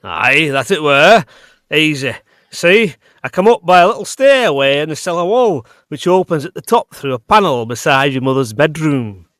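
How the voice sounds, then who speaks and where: An actor playing a gleeful, sly old smuggler captain with a rural English accent, speaking in dialect with chuckling relish in an audio drama. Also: male voice, on the high side, very animated, moderate pace, fairly bright tone, dry recording, studio quality. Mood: sly, boastful.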